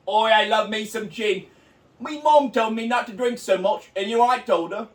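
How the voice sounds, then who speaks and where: A man's voice: sits high, reverberant, recorded from a distance. A man doing a put-on British accent as a rowdy, crude bloke in an improvised comedy bit. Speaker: podcast co-host.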